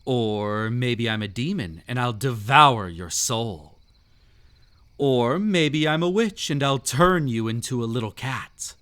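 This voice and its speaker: Man playing a slow, teasing haunted-house host. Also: mid-range voice, animated, a bit bright, clean tone. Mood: ominous teasing. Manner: teasing, theatrical, drawn-out phrasing. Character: whimsical, mysterious, sinister male host of a haunted estate. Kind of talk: scripted roleplay audio drama.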